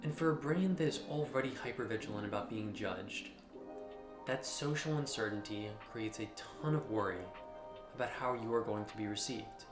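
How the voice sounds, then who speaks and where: A man giving a scripted explainer in a measured, instructional manner, speaking directly to the listener. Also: fairly low pitch, roomy sound. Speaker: host of a mental health explainer channel. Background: background music.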